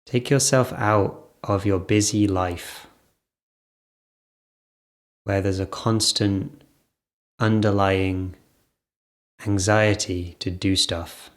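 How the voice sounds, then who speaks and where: A man leading an off-the-cuff guided meditation, speaking in short phrases with gentle pauses between them. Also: low-pitched, fairly even intonation.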